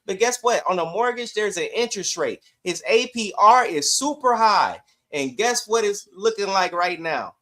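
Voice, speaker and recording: Male sports podcast panelist, high register, conversational and insistent, repeats phrases for emphasis. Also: animated, moderate pace, hardly any pauses, sharp tone. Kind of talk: casual sports debate.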